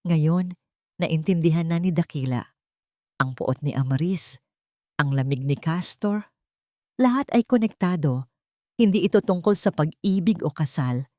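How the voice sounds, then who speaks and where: A woman's voice: low voice, unhurried, long pauses, mellow, no room sound, clean studio sound. A woman with a Filipino accent reading a scripted dramatic story as its narrator.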